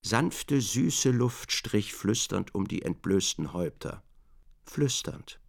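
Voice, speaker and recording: An actor playing the sober narrator of a radio drama, reading literary prose in a measured, deliberate, gravelly voice. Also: male voice, lowish voice, lively intonation, heavily acted.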